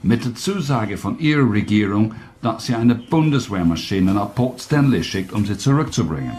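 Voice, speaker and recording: An actor playing a dry, melancholy British commander in a German radio drama. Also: male voice, fairly low pitch, lively intonation, moderate pace, darkish tone, slight background noise, lightly acted. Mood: dry, composed.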